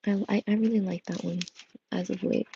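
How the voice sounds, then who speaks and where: A woman's voice: medium pitch, steady tone, fairly quick, creaky, mellow, dull audio, slight background noise. A young woman chatting casually as a tarot reader, her voice worn and strained, rambling with many fillers and restarts. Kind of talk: casual livestream chat. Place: home room with coffee machine. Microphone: earbud headset mic.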